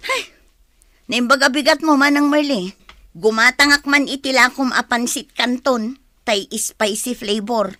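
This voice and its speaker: A woman's voice: fairly high pitch, measured pace, fairly bright tone, no echo, clean studio sound. A woman playing a worried village mother in a radio drama, fretful and sighing, with an Ilocano accent. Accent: Ilocano Filipino. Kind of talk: Filipino radio drama acting.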